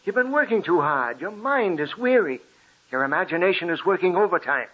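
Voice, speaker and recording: An actor playing a concerned, rational doctor, reasoning and incredulous, in the theatrical style of old-time radio drama. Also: male voice, high-pitched, sing-song, brisk, breathy edge, lo-fi sound, exaggerated voice. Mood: concerned disbelief. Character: concerned, rational male doctor soothing a friend. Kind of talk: 1940s radio drama acting.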